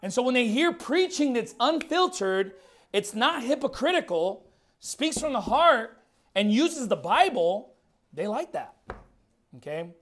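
A man's voice: extremely high pitch, big pitch swings, a little slow, fairly bright tone. A man in his thirties preaching a fiery, blunt sermon in a church while losing his voice. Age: 30s. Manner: emphatic, blunt, repeats words. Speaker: pastor.

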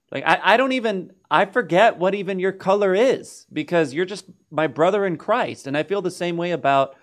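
A man hosting a casual religious discussion, conversational and repeating phrases as he works toward his questions. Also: on the high side. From Lebanese heritage. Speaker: host.

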